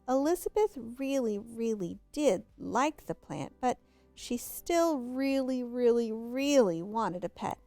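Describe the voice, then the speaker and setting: A woman's voice: a little high, lively intonation, slow, mellow, no room sound, soft background music. A woman reading a children's story aloud expressively, drawing out words for emphasis. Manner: draws out and stresses words for emphasis. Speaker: storybook reader.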